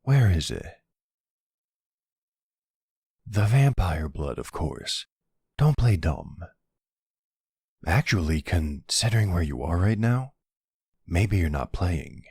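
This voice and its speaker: Guy doing a smug flirty vampire for asmr, super low husky voice, teasing drawl, right up on the mic. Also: very animated, slow, long pauses, dry recording, exaggerated voice. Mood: playful teasing. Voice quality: deep, gravelly, husky.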